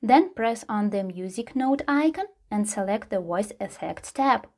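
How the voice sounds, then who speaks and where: A woman's voice: mid-range voice, animated, measured pace. Woman narrating a scripted how-to guide. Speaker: tutorial host.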